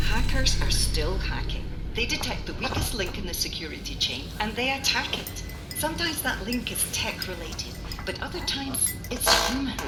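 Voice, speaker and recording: Veteran woman hacker turned consultant, middle-aged, self-assured and wry, faint noise behind. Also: on the high side. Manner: wry, confident, explanatory. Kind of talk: conversational interview answers in audio drama. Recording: faint event background noise.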